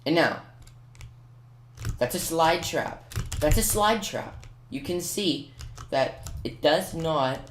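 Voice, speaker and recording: A man's voice: a little high, lively intonation, takes it slowly, pauses often, a bit bright. A man giving casual tutorial commentary on a video game, hedging and correcting himself as he goes. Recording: roomy echo, faint noise with key clicks. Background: computer keyboard typing and clicks.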